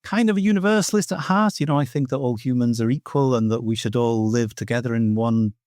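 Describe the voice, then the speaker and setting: A man's voice: mid-range voice, quick pace, darkish tone, no echo, studio quality. A middle-aged man from Wales with a Welsh accent, a native Welsh speaker, answering as an interview guest in a thoughtful, earnest way with many "you know" asides. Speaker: guest teacher of Welsh myth. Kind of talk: reflective podcast interview answers.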